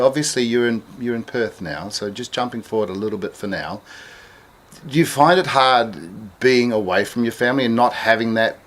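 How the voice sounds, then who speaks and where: Man interviewing, stumbling restarts. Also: mid-range voice. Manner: friendly questions with many restarts. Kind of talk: casual interview. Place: interviewee's home.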